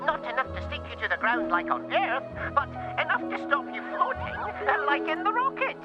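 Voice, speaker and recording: Ground control scientist acted by a woman: eager explainer, high voice, narrow radio sound, storybook style. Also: huge pitch swings, brisk, over loud music. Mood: eager, explaining. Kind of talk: children's storybook character voice.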